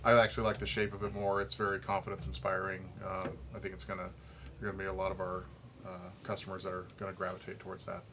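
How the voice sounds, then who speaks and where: A man's voice: low-pitched, monotone, quick pace. A man who works at a golf shop, chatting casually and conversationally. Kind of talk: casual chat. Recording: noticeable background noise.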